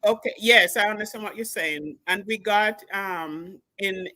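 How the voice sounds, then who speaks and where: A woman calling in with a question, speaking hesitantly and haltingly. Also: medium pitch, sharp tone, no echo. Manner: hesitant, halting, many fillers. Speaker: caller. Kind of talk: call-in question.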